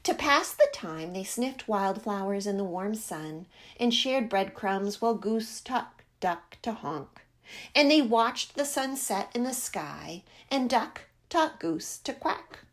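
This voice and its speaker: A woman reading a picture book aloud to young children in an expressive, deliberate, dramatic storytelling manner. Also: medium pitch.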